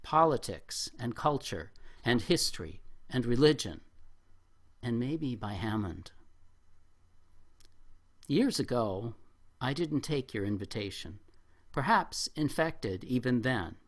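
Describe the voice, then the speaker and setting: A middle-aged or older man at a poetry open mic, with a medium-pitched voice that rises and falls widely. His delivery is reflective and expressive, that of a poet sharing his own work. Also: slow pace, pauses often. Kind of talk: spoken poetry reading with informal remarks to an audience.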